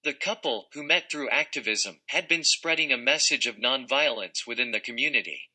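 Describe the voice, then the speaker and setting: A man narrates a written text in a scripted read-aloud style. His voice is very bright and crisp-edged, with a forceful delivery at an average pitch. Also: lively intonation, moderate pace. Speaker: narrator reading an article.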